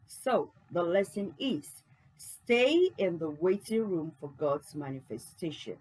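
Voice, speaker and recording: A woman's voice: lowish voice, a little mellow, breathy edge, low-quality recording. A woman hosting a book channel, earnestly encouraging listeners with rhetorical questions after a reading.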